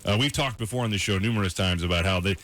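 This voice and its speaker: An American man presents news on a radio show in a slightly low, bright, forceful voice. He moves quickly, explaining each item informally and loosely paraphrasing what he reads, as if chatting with a co-host. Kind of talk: conversational radio news rundown with co-host banter. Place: radio studio.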